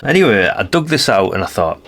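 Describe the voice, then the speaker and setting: A British man with a lowish voice full of creak talks casually through a hands-on demonstration. He is chatty and rambling, often turning statements into questions as he hosts. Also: sing-song, a little fast, fairly bright tone. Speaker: host of a radio hobby channel. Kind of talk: casual hands-on product showcase.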